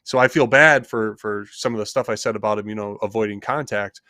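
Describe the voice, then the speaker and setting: A man's voice: fairly low pitch, fairly quick, bright, forceful tone, dry recording, studio quality. A man co-hosting a casual sports talk show, rambling with many restarts and hedges. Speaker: co-host.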